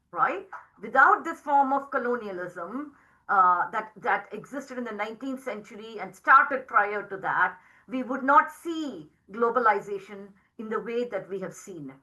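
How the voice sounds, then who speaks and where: A middle-aged woman whose first language is Tamil, giving an academic lecture to teachers in a measured, explanatory way, often adding tag questions. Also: on the high side, sharp tone, rough recording.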